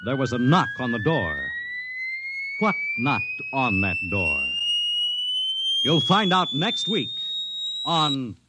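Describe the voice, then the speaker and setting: A man announcing a 1950s radio drama in a measured, dramatic manner. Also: medium pitch, sing-song, heavy background noise. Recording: old 1950s radio broadcast with music. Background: music.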